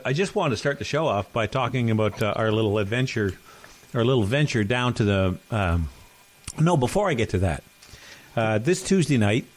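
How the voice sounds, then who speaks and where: A middle-aged man co-hosting a chat podcast, voice sitting at an ordinary middle pitch. He rambles through his stories, correcting himself as he goes, and now and then he coughs. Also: slight background noise. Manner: rambling, self-correcting storyteller. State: occasional cough. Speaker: podcast co-host. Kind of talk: casual chat.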